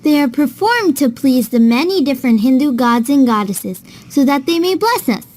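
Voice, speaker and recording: A woman playing a knowledgeable big sister in a children's cartoon, with a fairly high voice. She explains patiently and kindly, as if telling a lesson to a younger child. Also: expressive, normal speed, darkish tone. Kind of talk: scripted children's educational storytelling.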